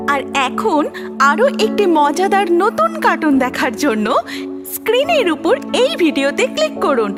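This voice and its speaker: A woman narrating a scripted children's cartoon story with expressive storytelling delivery. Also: extremely high pitch, unhurried, over music.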